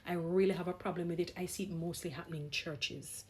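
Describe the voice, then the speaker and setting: A woman's voice: lowish voice, fairly even intonation, quick pace, husky. A woman vlogger giving opinionated talk in an emphatic, exhorting manner, repeating phrases for stress. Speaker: vlogger, gospel singer.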